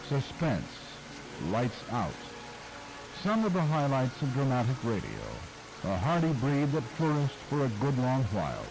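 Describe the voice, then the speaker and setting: A man in a middle-pitched voice reads a scripted, measured documentary narration. His intonation moves lively and freely, and music can be heard under parts of the recording. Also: low-quality recording. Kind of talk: scripted narration about radio history.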